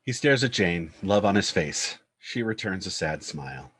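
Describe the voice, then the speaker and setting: A man narrates screenplay action and scene headings. His voice sits low with a rough, husky grain, recorded dry and close. The reading is scripted. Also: big pitch swings, moderate pace, a bit bright, dry recording. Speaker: narrator reading stage directions.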